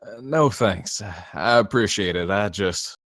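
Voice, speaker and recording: A man's voice: on the low side, measured pace, fairly bright tone, dry recording, clean studio sound. A man playing a shy, awkward, reluctant guest in a screenplay table read, hesitant and polite.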